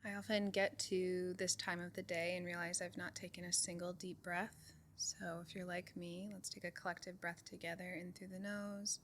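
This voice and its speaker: A woman leading a guided meditation in a calm, soothing way, giving soft instructions with unhurried pauses. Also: on the low side, slight background noise. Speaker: meditation group leader.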